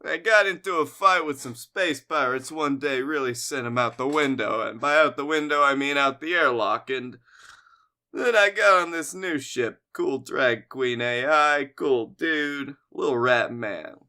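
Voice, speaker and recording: A man's voice: medium pitch, unhurried, sharp tone, clean tone. A man co-hosting a comedy podcast, casually riffing and brainstorming ideas with a friend.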